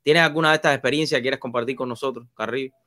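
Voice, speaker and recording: Bright-voiced male interview host. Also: medium pitch, steady tone, very fast. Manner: prompting, conversational. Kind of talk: casual interview questions.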